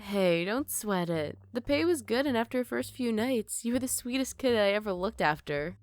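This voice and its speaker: A woman's voice: a little high, lively intonation, normal speed, faint music behind, lightly acted. A woman playing a teasing former babysitter.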